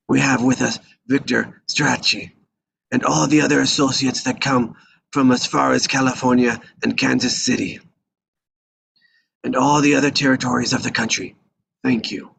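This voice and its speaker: A man playing an aging, dignified mafia don in a live table read, with a gravelly, raspy voice. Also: mid-range voice, fairly bright tone, a little hiss or hum, poor audio.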